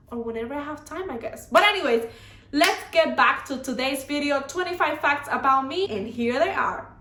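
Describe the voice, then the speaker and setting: Bright-voiced Dominican woman chatting casually. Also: on the high side, reverberant. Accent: Dominican Spanish. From Dominican Republic. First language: Spanish. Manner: rambling, many fillers like "like" and "you know". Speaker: YouTuber. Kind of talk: casual chat to camera.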